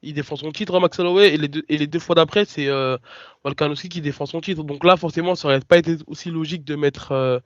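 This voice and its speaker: A man's voice: fairly high pitch, fairly even intonation, fast, no room sound. A French man co-hosting a casual podcast, talking hesitantly with many restarts. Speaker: podcast co-host. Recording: dry, dull lo-fi sound.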